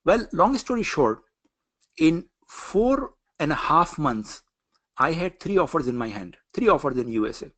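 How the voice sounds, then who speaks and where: A man's voice: on the high side, big pitch swings, measured pace, a bit bright. A middle-aged man from Pakistan, with a Pakistani accent, giving a career coaching lecture in an earnest manner, often repeating phrases and using fillers. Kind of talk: online coaching session lecture.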